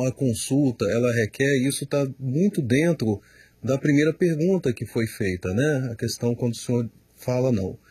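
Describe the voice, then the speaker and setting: Man, pastor, husky, fairly high voice, dry studio sound, slow emphatic teaching. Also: darkish tone, dry recording. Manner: slow, emphatic, repeats words while explaining. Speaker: pastor answering questions.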